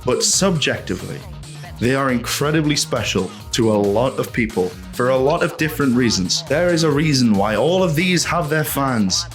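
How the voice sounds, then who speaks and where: A man giving a casual, opinionated video essay in an animated, ranting manner, full of rhetorical questions and swearing. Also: mid-range voice, normal speed, soft background music. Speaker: host of a video essay channel.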